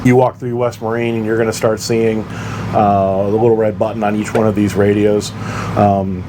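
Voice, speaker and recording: A man's voice: lowish voice, level tone, normal speed, background noise. A man giving an informal, practical explainer in a plain-spoken way, as the host of a buyer's guide. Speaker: boating gear reviewer and host.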